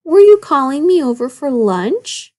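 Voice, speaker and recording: A woman's voice: high-pitched, sing-song, measured pace, darkish tone, pitched above the performer's own voice. A woman voicing a sly, grumbling old male wolf in a children's storybook read-aloud. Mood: sly, wheedling. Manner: growling, wheedling, grumpy.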